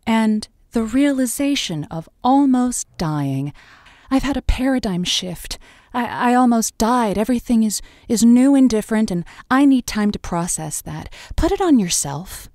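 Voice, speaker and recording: A woman playing a tender, haunted radio advice host who speaks theatrically with endearments and deliberate pauses. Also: mid-range voice, darkish tone, no echo, clean studio sound, lightly acted. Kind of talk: audio drama as late-night call-in radio.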